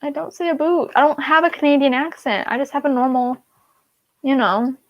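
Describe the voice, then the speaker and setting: A woman's voice: high-pitched, brisk, dry recording, highs cut off. A woman hosting a casual writing livestream over a video call, talking in a chatty, playful and sometimes defensive way. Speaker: host.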